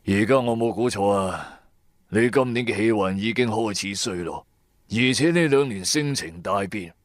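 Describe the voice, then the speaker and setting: Shrewd old boss, low slow voice, calm n cajoling, radio drama, dry sound. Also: male voice, no echo. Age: older. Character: shrewd old veteran tomb-trade boss, calmly persuasive. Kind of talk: Cantonese radio drama acting.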